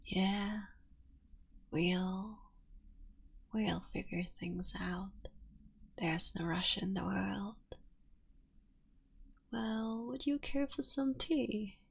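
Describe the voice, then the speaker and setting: A woman voicing a tender, motherly female fox-spirit witch in an ASMR roleplay, speaking softly and often whispering. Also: mid-range voice, extremely slow, gravelly, long pauses, husky, dull audio, exaggerated voice. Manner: soft and intimate, often whispering.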